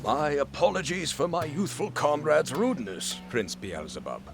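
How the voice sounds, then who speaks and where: Polite formal rebel commander for a game, gravelly, voice swings up and down loads. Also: male voice, a little high, very animated, music in the background. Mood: courteous. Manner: formal, deferential, measured. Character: courteous, formal male resistance commander. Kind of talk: video game voice acting.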